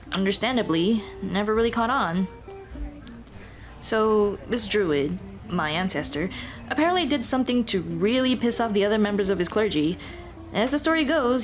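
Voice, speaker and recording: Woman playing an animated, playful monster girl on a date. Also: fairly high pitch. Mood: storytelling. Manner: playful, animated, punning, speaking directly to the listener. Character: cheerful headless Dullahan woman on a chatty date. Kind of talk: scripted ASMR roleplay, conversational one-sided date.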